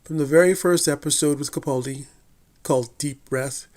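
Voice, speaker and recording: A man reading a scripted TV review in a measured, deliberate way, with the odd slip and restart. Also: fairly high pitch, darkish tone. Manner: measured, deliberate delivery with occasional slips and restarts. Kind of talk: scripted review read aloud.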